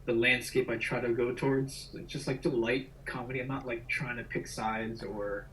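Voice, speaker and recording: A man in his thirties from New Jersey talks casually as a call-in guest. His voice sits at an average pitch, and there is faint background noise on his video call. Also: little pitch movement, normal speed, fairly bright tone, rough recording. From New Jersey, United States. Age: 30s. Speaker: podcast guest calling in. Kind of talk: casual chat.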